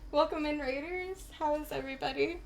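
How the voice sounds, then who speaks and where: A woman's voice: high voice, a little fast, a little hiss or hum. A woman live streaming a video game, chatting informally and in a giggly way as she greets her viewers. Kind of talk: casual live-stream chat.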